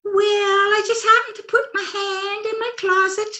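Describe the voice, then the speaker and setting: A woman's voice: extremely high pitch, flat delivery, measured pace, bright, forceful tone, full character voice. A woman playing a scatterbrained, innocently coy wife in old-time radio comedy, with a childlike manner and roomy, echoing sound.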